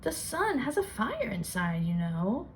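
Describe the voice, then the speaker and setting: A woman's voice: mid-range voice, wildly swooping pitch, measured pace, slight background noise. A woman voicing a mischievous male woodland prankster from a storybook, taunting and knowing in manner.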